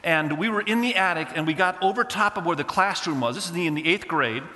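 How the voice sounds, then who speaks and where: A man preaching and telling a humorous story in a lively way, with a fairly high, bright voice. He grew up in Indonesia and spoke Indonesian before English. His voice rings out with the long echo of a big hall. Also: fast. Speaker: pastor. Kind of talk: sermon storytelling.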